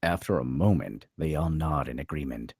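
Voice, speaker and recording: A man's voice: extremely low pitch, normal speed, a little mellow, husky, no room sound, studio quality. A man narrating screenplay stage directions at a table read, reading scripted scene descriptions.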